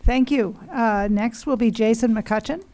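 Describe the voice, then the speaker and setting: A woman moderates a candidate forum, speaking slowly in a slightly high, mellow voice. She keeps to brief thank-yous and announces each candidate in turn.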